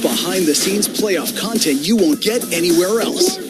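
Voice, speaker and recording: A man's voice: high-pitched, expressive, moderate pace. A man delivering a scripted radio commercial as an advertising announcer. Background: loud music.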